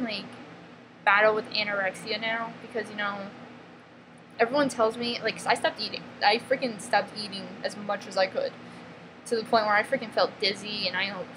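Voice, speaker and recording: A woman with a New York accent, talking in a blunt, emphatic confessional vlog, halting and restarting often and filling her speech with "freaking" and "like.". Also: mid-range voice. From northeastern US, near New York. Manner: halting, restarts mid-sentence. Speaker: vlogger. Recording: noticeable background ambience noise.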